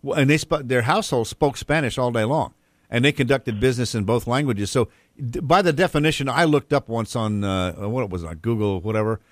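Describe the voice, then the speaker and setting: A man's voice: medium pitch, brisk, dry recording, clean studio sound. A man hosting a local radio talk show, breezy and joking with guests and callers. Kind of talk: casual radio chat.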